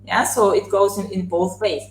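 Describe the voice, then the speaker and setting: A woman's voice: mid-range voice, steady tone, normal speed, roomy sound, soft background music, rough recording. A woman in her thirties, born in Serbia and raised in Austria, telling her life story as a guest on a video call, with many fillers and restarts. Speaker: interview guest. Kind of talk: casual conversational storytelling.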